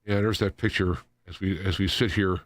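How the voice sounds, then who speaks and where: A man hosting a radio talk show, giving an unscripted commentary in a halting, rambling way, often repeating and restarting his phrases. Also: sits low, fairly even intonation, no room sound, clean studio sound. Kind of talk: unscripted news commentary monologue.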